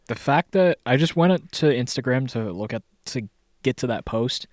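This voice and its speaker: Young male sports podcast co-host, blunt and profane, mid pitch, dry close sound. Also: animated, moderate pace, no room sound. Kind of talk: casual sports chat.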